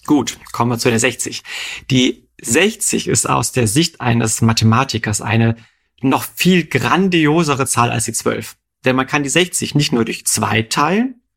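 Männliche Stimme, lockeres Erklärgespräch, stellt Quizfragen, normale Tonhöhe. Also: male voice, medium pitch, creaky, a bit bright, breathy edge. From Rhineland, Germany. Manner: patient, quizzes his co-host with questions. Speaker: podcast co-host explaining numbers. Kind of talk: explanatory conversational chat.

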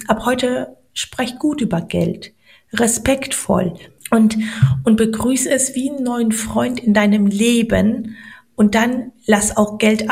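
A middle-aged woman with a gravelly edge to her voice talks about money beliefs on a podcast. She speaks earnestly and warmly encourages the listener, and she slows right down on the words that matter. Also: on the high side. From Poland. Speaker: podcast guest, money coach. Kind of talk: motivational podcast talk.

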